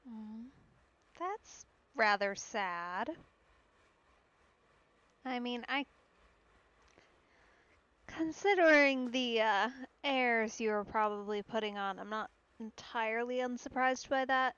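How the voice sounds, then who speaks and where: Casting: female game streamer, slow and musing, fairly high voice, slightly dull audio. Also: a bit bright, clean tone. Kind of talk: casual let's play commentary.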